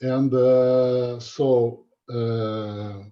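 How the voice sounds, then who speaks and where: A man's voice: mid-range voice, flat delivery, extremely slow. A man from Brazil, a native Portuguese speaker with a Brazilian Portuguese accent, giving a hesitant academic talk with many uhs over a video call. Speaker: guest lecturer. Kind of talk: academic presentation. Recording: dull lo-fi call audio.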